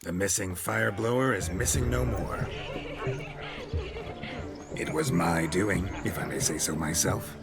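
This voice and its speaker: An actor playing a roguish, boastful reveler in a fantasy video game, with a jaunty, old-fashioned way of talking. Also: male voice, low-pitched, a little slow, pauses often. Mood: jovial, boastful. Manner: jaunty, swaggering, old-fashioned turns of phrase. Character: roguish, boastful reveler living again in a monster hunter's body. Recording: game dialogue under loud music.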